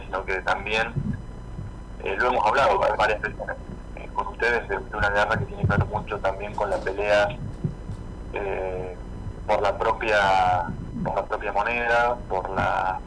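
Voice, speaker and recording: Low-voiced Argentine man, noisy recording. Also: a bit bright. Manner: halting, thinking aloud, repeating words as he goes. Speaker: radio columnist. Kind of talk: spoken political and economic commentary.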